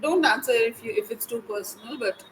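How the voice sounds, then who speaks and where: A woman's voice: a little high, brisk, a little hiss or hum, rough recording. A young woman, a tutor asking friendly, curious questions. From India. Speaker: English tutor. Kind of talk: casual online conversation.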